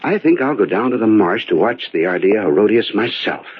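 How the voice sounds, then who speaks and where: Radio drama: bookish man besotted with birds, animated and husky, pedantic, eager, narrow tinny sound. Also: lowish voice, a little fast. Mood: earnest enthusiasm. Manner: pedantic, hesitant, enthusiastic. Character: bookish, earnest male bird enthusiast, absorbed and eager. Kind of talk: old-time radio drama acting. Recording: tinny, narrow-band old radio recording.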